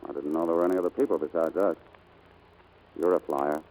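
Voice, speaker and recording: A man's voice: a bass voice, steady tone, quick pace, warm tone, poor audio. An actor playing a breezy, flirtatious, self-assured male pilot in 1940s radio drama style. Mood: tender, flirtatious. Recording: old 1940s radio broadcast, music behind.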